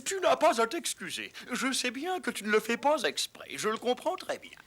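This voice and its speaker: An actor voicing a timid, kindly young mole gentleman in a cartoon dub, hesitant and fretful. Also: mid-range voice, huge pitch swings, moderate pace. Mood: gentle, sympathetic. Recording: loud music behind. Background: loud music.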